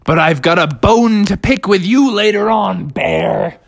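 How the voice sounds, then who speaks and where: A brisk, no-nonsense male zookeeper from a children's tale, played by a storyteller. He speaks firmly in a slightly raised pitch, recorded close and dry. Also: animated, creaky, no echo. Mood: stern.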